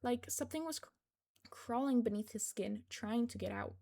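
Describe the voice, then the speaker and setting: A woman's voice: on the high side, moderate pace, gravelly, dark tone. A woman reading scripted fiction aloud as narrator of a fan fiction podfic.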